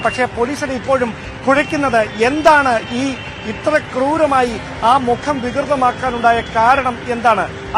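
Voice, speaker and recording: A man reporting live as a field news reporter, explaining at length in halting, repetitive run-on sentences. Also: very high-pitched, background noise.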